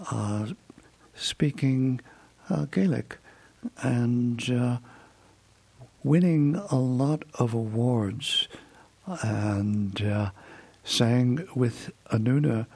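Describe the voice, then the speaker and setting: A man hosting a radio music program, presenting in a halting, unscripted way with frequent filler pauses. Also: medium pitch, vocal fry, darkish tone, breathy edge. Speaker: radio music show host.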